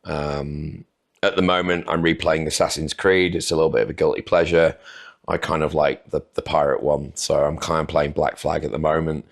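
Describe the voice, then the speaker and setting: A man's voice: very deep voice, little pitch movement, normal speed, vocal fry. A man in his forties from North Wales, talking unhurriedly and a little self-deprecatingly as a podcast guest. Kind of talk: casual interview chat.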